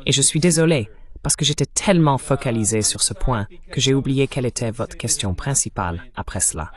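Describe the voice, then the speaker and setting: A man with a mid-pitched voice speaks as an interviewed commentator in a dubbed programme. His delivery is measured and didactic, with emphasis placed on key points.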